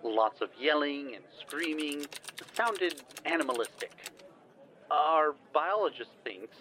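A man playing an earnest, improvising smooth talker from an exploration team in an audio drama, formal but halting. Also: on the high side, lively intonation, pushed and bright, a little hiss or hum, heavily acted. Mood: earnest explaining.

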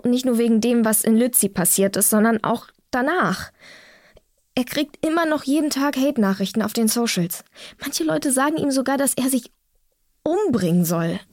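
A woman's voice: a little high, lively intonation, normal speed, darkish tone, no room sound, clean studio sound, lightly acted. A woman playing a troubled young woman in an audio drama, speaking seriously and naturally about a friend. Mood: troubled, bitter. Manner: serious, conversational.

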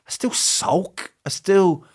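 A man's voice: sits high, slow pace, husky. A man in his fifties from England, a comedian, speaking with an English accent touched by New Zealand, in a rambling, self-mocking interview manner full of restarts and jokey put-on voices. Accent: English with a bit of New Zealand. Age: 50s.

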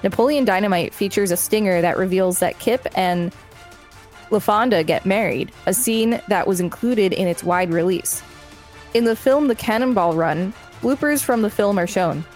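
A woman's voice: mid-range voice, normal speed, darkish tone. Woman, mid-range voice, podcast co-host, article reading, casual banter, music behind.

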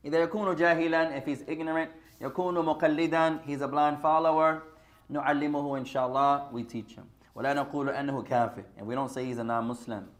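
A man's voice: a little high, steady tone, very fast. A man giving an informal religious lesson, talking straight to his audience and mixing in Arabic phrases. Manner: conversational, mixes Arabic phrases, addresses audience as brothers. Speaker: Islamic teacher.

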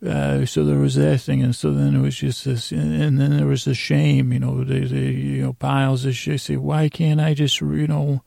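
A man hosting a podcast with a rambling bedtime monologue, halting and meandering, with stammered false starts and trailing tangents. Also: medium pitch, level tone, mellow, no room sound, clean studio sound. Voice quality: gravelly, creaky. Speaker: podcast host.

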